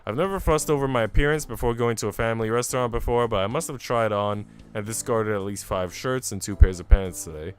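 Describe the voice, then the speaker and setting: A man's voice: lowish voice, quick pace, few pauses. A man streaming, reading a visual novel aloud with casual, chatty asides. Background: music.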